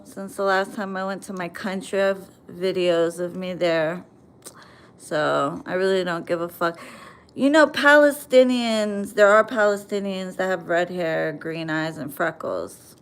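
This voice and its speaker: A woman's voice: mid-range voice, smooth. An American woman chatting bluntly on a livestream, reading viewer comments and answering them with plenty of swearing. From United States. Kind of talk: casual livestream chat.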